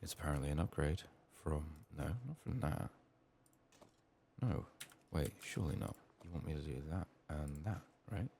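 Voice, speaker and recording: A man quietly narrating a game playthrough in soft-spoken ASMR style, murmuring to himself and often dropping toward a whisper. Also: very deep voice, expressive, moderate pace, slight background noise. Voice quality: soft, hushed, breathy in whispers. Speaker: gaming streamer.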